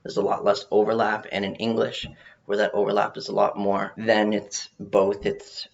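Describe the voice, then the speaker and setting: A man giving an informal research presentation, speaking haltingly with some repeated words, on muffled audio. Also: fairly low pitch, completely flat delivery, creaky. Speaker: presenter on learning to read.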